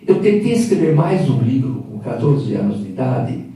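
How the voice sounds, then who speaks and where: A man's voice: medium pitch, big pitch swings, warm tone. Older man in an echoey hall. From Hungary. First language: Hungarian. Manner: reflective, halting with fillers. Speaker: guest speaker. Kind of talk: personal storytelling talk. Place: event hall. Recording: echoey room from a distant mic.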